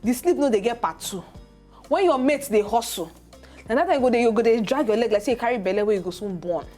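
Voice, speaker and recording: A woman's voice: on the high side, lively intonation, fast, darkish tone, faint music behind. Teasing Nigerian woman TV host. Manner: playful, teasing, laughs often. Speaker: talk show host. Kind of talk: casual health talk show. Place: TV studio.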